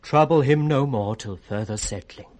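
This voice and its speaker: A man plays a kindly physician at a royal sickbed in a British radio Shakespeare. His voice is medium in pitch. He talks in a measured, reassuring way. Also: darkish tone, lightly acted. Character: calm, kindly physician attending a sick king.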